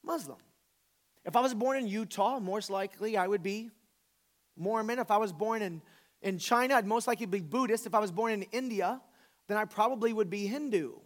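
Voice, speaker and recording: A man in his forties with a notably high voice delivers a conversational sermon. He is a pastor from the American South who talks informally, leaning on repetition for emphasis. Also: brisk. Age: 40s. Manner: informal, emphatic, repeats phrases for effect.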